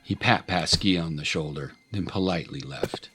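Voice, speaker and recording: Low male voice narrating a drama, music playing underneath. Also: moderate pace, a bit bright. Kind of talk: scripted dramatic story narration.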